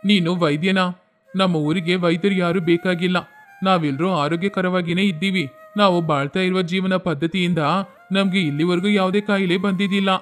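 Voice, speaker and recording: Man acting a haughty high-pitched village elder. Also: steady tone, normal speed, faint music behind, close to an everyday voice. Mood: haughty, dismissive. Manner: haughty, scolding. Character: proud, stern village elder, arrogant and dismissive.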